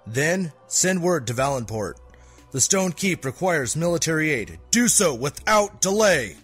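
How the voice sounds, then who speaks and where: A man's voice: a little high, big pitch swings, measured pace, a bit bright, slightly rough, exaggerated voice. A man playing a loyal, stern royal guard in an audio drama, formal and commanding with clipped phrasing. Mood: commanding, urgent. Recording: faint music behind the voice.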